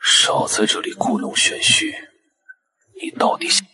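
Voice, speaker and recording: A man playing a suspicious, combative, protective figure in an animated web drama, curt and confrontational. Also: measured pace, poor audio.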